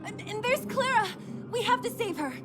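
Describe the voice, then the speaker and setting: A woman voicing a brash, protective young female fighter in a video game, blunt and expressive. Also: very high-pitched, normal speed, over loud music. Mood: alarmed. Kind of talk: video game cutscene voice acting.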